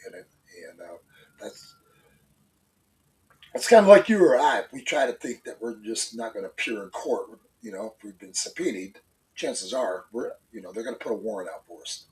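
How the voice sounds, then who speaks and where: A man's voice: lowish voice, animated, normal speed, a little mellow. Stuffy-nosed man chatting off-mic. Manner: rambling, many fillers and restarts. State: congested, stuffy. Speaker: co-host. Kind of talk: casual opinion chat. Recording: voice a bit far from the mic.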